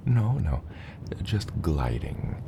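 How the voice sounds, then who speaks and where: Under a heavy low rumble speaks a huge, gentle father dragon played by a man. His voice is extraordinarily deep and crackles with gravel, softened into a breathy hush. He guides his little hatchling with patience and reassurance, in the intimate manner of an ASMR roleplay. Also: very animated, exaggerated voice. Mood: soothing, reassuring. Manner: gentle, reassuring, unhurried, hushed. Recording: loud low rumbling noise.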